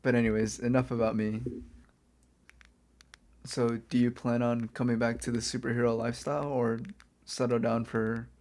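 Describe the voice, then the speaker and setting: Soft-spoken, even-toned man as a reformed villain. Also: medium pitch, close to an everyday voice. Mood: earnest, confiding. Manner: casual, unhurried, hesitant and coaxing. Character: reformed male supervillain kidnapper, lonely, apologetic, gently friendly. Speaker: ASMR audio performer. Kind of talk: soft-spoken ASMR roleplay, addressing the listener.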